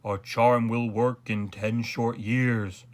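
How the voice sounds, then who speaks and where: A man voicing sinister palace magicians in a storybook, chanting slowly and rhythmically. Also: medium pitch, little pitch movement, close to an everyday voice. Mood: solemn. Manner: slow, rhythmic incantation.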